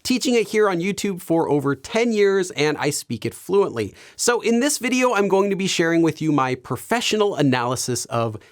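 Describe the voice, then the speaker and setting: A man teaching Korean on his video channel, explaining things clearly and laughing often. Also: high voice, big pitch swings, moderate pace, few pauses. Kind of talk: educational video commentary.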